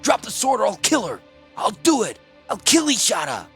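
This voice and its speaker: A man voicing a furious, violent one-armed man who snarls and shouts. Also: high voice, huge pitch swings, normal speed, slightly rough, faint music behind, voice pushed up, exaggerated voice. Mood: shouting.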